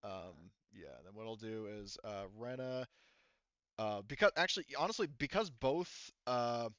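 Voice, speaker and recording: A man acting as game master for a tabletop roleplaying game, narrating casually and haltingly as he thinks through the rules aloud. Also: lowish voice, expressive, fairly bright tone, no room sound, highs cut off.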